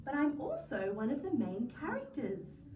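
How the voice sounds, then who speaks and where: Lady doing earnest kids book hero guy, keeps explaining himself, high voice, bit distant from mic. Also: huge pitch swings, normal speed, darkish tone. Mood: earnest, insistent. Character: earnest, put-upon male storybook narrator Andy. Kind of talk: children's storybook read-aloud. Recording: roomy, distant mic, faint noise.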